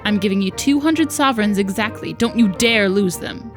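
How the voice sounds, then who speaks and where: A woman's voice: mid-range voice, lively intonation, moderate pace, natural voice. A woman voicing a haughty, scornful older stepmother who gives impatient orders, reading visual novel dialogue aloud. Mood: scolding. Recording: music behind the voice.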